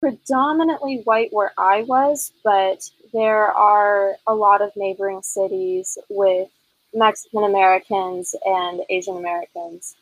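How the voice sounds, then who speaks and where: A woman's voice: mid-range voice, fairly even intonation, unhurried, no echo, poor audio. A woman in her twenties from Northern California, a podcast guest talking thoughtfully with frequent fillers. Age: 20s. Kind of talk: casual conversation.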